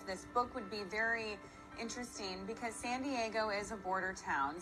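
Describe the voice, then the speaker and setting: A woman's voice: medium pitch, rough recording. A woman hosting a TV interview, asking questions in an upbeat, friendly way. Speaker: TV news host. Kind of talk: morning TV interview.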